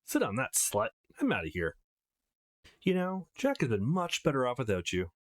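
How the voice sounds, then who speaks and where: A man's voice: medium pitch, wildly swooping pitch, normal speed. Visual novel bully: male reader as spiteful young woman, snide, cutting, widely varied intonation. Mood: hostile, scornful.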